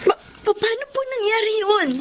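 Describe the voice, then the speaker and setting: An actress with a Filipino accent playing a polite, gentle young secretary, respectful and hesitant, in radio drama style. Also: female voice, very high voice, normal speed, background noise. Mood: stunned. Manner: soft-spoken, respectful, hesitant.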